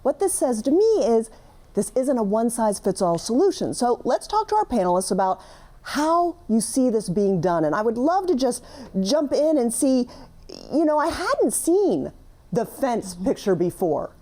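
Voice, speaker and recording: Lady host running a tv panel, mellow voice, pitch all over the place, upbeat. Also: very animated, normal speed. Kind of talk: moderating a panel discussion.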